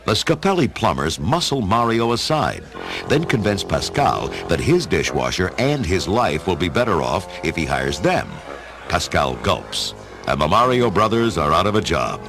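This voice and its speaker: A man giving dramatic, measured scripted narration for an audio story, in a theatrical storytelling manner. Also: low-pitched, big pitch swings. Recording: music under the narration. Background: music.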